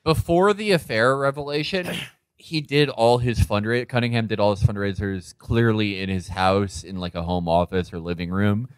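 A man's voice: lowish voice, fairly even intonation, normal speed, smooth, dry recording. A man co-hosting a podcast with casual, sweary, sardonic comedic banter. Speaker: podcast host.

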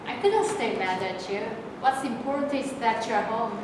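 A woman's voice: on the high side, moderate pace, close to an everyday voice. A woman playing a friendly, forgiving sweetheart of a soldier in a staged play reading. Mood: friendly, flirty. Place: bare indoor rehearsal room. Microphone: distant camera microphone. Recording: echoey room from far off, clear noise.

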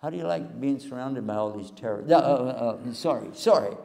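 Older preacher in an echoing hall. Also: male voice, mid-range voice, animated, fairly quick, vocal fry, dark tone. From Texas. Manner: folksy, joking, chatty with the audience. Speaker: Islamic preacher and TV host. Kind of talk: humorous public lecture. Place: large hall with live audience. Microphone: handheld mic, far camera. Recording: long hall reverb.

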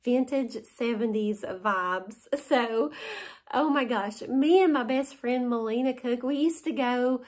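A woman's voice: a little high, slow, a little mellow. A woman in her forties from West Virginia with a Southern accent, chatting and gushing over items she bought, laughing often, filmed in her living room. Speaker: haul vlogger. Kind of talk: casual show-and-tell haul. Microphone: camera in front at close range.

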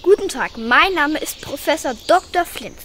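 A woman's voice: high voice, lively intonation, moderate pace, fairly bright tone, a little hiss or hum. A woman playing Doctor Flint, a pompous know-it-all professor in a children's radio play, lecturing self-importantly.

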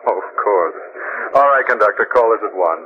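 An actor playing an easygoing, urbane gentleman with dry humor in 1940s radio style. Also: fairly low pitch, expressive, a little fast, crowd noise, lightly acted. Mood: relaxed. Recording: noisy, dull old radio recording.

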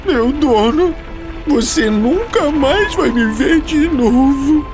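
Whiny greedy cartoon dog, brazilian accent, high and panicky, music playing behind. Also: female voice, animated, slow pace. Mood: despairing. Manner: whiny, dramatic, exclaiming. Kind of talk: children's cartoon dubbing.

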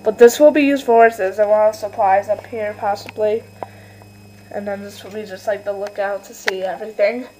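A young woman giving a casual home video tour, halting and full of fillers, filmed on a handheld camera in her bedroom. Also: medium pitch, steady tone, mellow, a little hiss or hum, poor audio. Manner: chatty, filler-heavy, halting. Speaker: channel owner giving a toy stable tour. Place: bedroom with toy horse stables.

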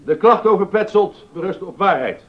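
Severe councillor, high voice, animated delivery, formal, accusing, docudrama, muffled recording. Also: male voice, normal speed. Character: stern, accusing male council member. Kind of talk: Dutch docudrama acting. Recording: dull lo-fi recording.

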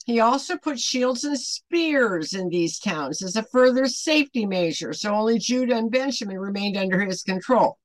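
A woman in her seventies teaching a Bible devotional to camera at home, earnest and deliberate, putting emphatic questions to the listener. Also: fairly high pitch, animated, no room sound, rough recording. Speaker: online Bible study host. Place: small home room, indoors.

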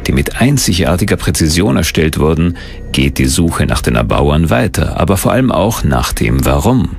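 A man reading scripted documentary narration in a measured, mysterious storytelling tone. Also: rumbling low, big pitch swings, creaky, a little hiss or hum.

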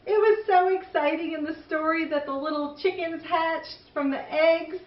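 A middle-aged woman hosting for young children, reading stories in an animated way and asking the listener questions. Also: extremely high pitch, normal speed, echoey room, recorded from a distance, highs cut off. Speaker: children's show host and storyteller. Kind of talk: children's storybook reading and chat to young viewers.

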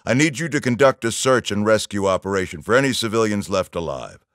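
A man's voice: lowish voice, expressive, fairly quick, a bit bright, no room sound, clean studio sound. A man voicing a stern middle-aged army general in a video game, giving orders and briefings in an authoritative, clipped military manner. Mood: grave, firm.

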